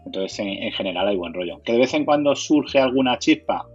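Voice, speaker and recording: A man's voice: medium pitch, lively intonation, fairly quick, breathy edge, muffled recording, soft background music. A man from Spain with a Castilian accent, answering interview questions conversationally, sometimes repeating words as he searches for phrasing. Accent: Castilian Spanish. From Madrid, Spain. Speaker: interviewee, board game community organizer.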